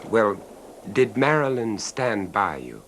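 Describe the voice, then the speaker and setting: A calm confidant in a 1970s radio play. His very low voice moves slowly, probing with tactful, hesitant questions. The old broadcast has faint noise. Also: exaggerated voice. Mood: curious, tactful. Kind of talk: 1970s radio drama acting.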